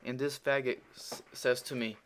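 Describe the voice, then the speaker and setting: A man's voice: mid-range voice, steady tone, normal speed, slight background noise. A teenage boy with a Russian accent telling a crude, profanity-filled story in a rambling way, with many fillers, while commenting over gameplay. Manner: grows louder and heated while retelling the argument. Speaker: gaming commentator.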